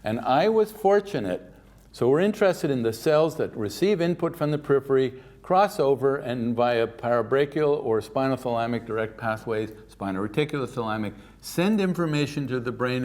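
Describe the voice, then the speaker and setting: An older man from Canada delivers a keynote lecture at a scientific conference. He talks in a lively, informal way and drifts into offhand asides, his voice sitting at a middle pitch. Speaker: keynote speaker.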